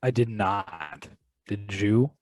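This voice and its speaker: A man's voice: on the low side, lively intonation, takes it slowly, a little mellow, a little hiss or hum. A man co-hosting a film podcast in casual chat. He is wry and self-mocking and halts often on fillers. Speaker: podcast co-host.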